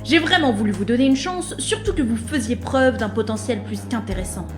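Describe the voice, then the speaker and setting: A disapproving woman manager, played by an actress, speaking in a fairly high voice with clear room echo. Her manner is formal, measured and exasperated, as in an audio drama scene in an office. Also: lively intonation, soft background music, close to an everyday voice. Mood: disappointed. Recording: roomy echo on the voice.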